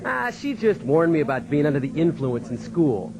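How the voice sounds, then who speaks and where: American farm man, lively storyteller. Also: fairly high pitch, moderate pace, creaky, noisy background. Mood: boyish, amused. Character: North Dakota farm man recalling his wayward youth. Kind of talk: radio drama first-person narration.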